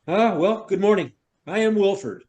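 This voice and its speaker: A man's voice: sits high, expressive, normal speed, a little mellow. A man playing an effusive, wordy middle-aged novelist from New York in a theatrical stage reading. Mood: puzzled, polite. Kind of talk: stage reader's theater acting.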